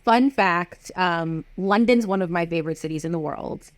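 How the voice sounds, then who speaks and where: A woman's voice: fairly low pitch, normal speed, no room sound. A woman hosting a casual interview over a video call, upbeat and emphatic, putting direct questions to her guest. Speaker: podcast host.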